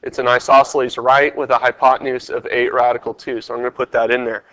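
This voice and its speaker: A man's voice: mid-range voice, level tone, fast, pushed and bright, dry recording, low-quality recording. A man with a stuffed-up, congested voice, walking a class through test questions step by step and quizzing them as he goes. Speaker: math teacher. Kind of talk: test review lesson over screen capture.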